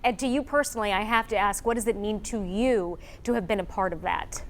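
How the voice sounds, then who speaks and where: A woman's voice: mid-range voice, fairly quick, slight background noise. A woman with an American accent presenting and interviewing as a broadcast news anchor.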